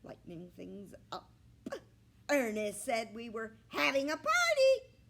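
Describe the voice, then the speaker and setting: A woman voicing a brash, sharp-tongued storybook bird, squawky and loud, with a snappy, singsong delivery. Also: fairly high pitch, huge pitch swings, slow, gravelly, voice pushed up, full character voice. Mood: cheeky. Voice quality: squawky, cackling.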